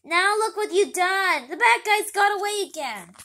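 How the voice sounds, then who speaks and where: Bossy cartoon police chief, played by a woman: loud, commanding, exasperated, high and sharp. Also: lively intonation, moderate pace, exaggerated voice. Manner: shouty, commanding.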